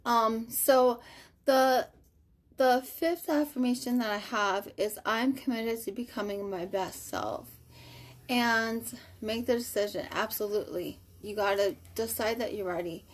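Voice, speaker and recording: A woman's voice: a little high, measured pace. A woman in her thirties hosting a casual live stream from home. She reads viewer comments aloud in an upbeat, chatty way with many fillers. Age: 30s. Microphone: webcam or phone on a live video.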